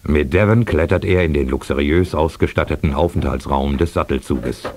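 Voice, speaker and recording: A man's voice: very deep voice, moderate pace, darkish tone. A man narrating an action radio play in a dramatic, scripted storytelling style. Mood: dramatic narration. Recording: cassette radio play with music underneath.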